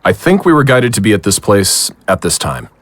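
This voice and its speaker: A man's voice: fairly low pitch, huge pitch swings, brisk, dry recording. A man acting a weary but determined investigator in an audio drama, with a wry manner. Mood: calm, persuasive. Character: weary, determined male investigator of strange happenings.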